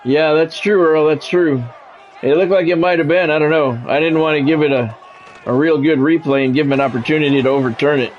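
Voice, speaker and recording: A man's voice: a little high, fairly even intonation, a little fast, lo-fi sound, a little hiss or hum. A man streaming a football video game, mixing sports play-by-play with casual, salty chat to viewers and swearing freely.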